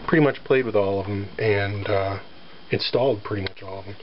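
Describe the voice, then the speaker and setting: A man with a lowish, allergy-scratched voice chats loosely about his guitar, full of ums and throat clearing. The sound is dull and lo-fi, home camera audio with faint noise in the background. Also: a little fast. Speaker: guitar owner showing his guitar. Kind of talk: casual show-and-tell. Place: near a sunny front porch. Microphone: handheld camera filming close-up.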